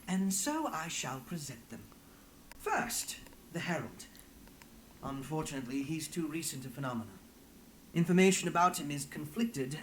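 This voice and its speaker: A woman's voice: low-pitched, a little hiss or hum, low-quality recording. A woman playing a sly, theatrical go-between in a video game, with florid, pompous, archaic phrasing.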